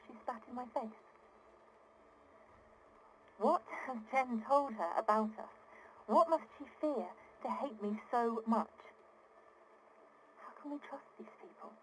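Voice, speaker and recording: A fairly high female voice from an actress plays an exasperated founder of an ocean city, recording a private diary in a video game. She is earnest, privileged and indignant, stressing her points in articulate phrases. Clear noise sits behind the recording. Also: close to an everyday voice, poor audio. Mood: hurt, troubled. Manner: indignant, articulate, emphatic, with weary sighs. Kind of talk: dramatic video game audio diary acting.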